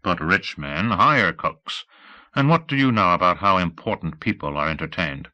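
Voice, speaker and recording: A man's voice: sits low, animated, moderate pace, sharp tone, dry recording, exaggerated voice. A narrator voicing a cautious rich man. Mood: wary. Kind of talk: audiobook character voice.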